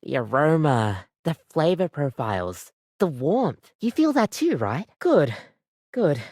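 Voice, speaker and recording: A woman voicing a living coffee maker in a video game, an earnest, bubbly, chatty barista with an expressive, rambling way of talking. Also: sits low, takes it slowly, breathy edge, dry recording, studio quality, heavily acted. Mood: earnest, dismayed. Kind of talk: scripted video game voice acting.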